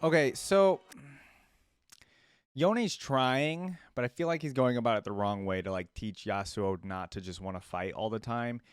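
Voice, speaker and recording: A man's voice: mid-range voice, moderate pace, smooth, dry recording, faint music behind. A man giving casual, off-the-cuff reaction commentary as a streamer.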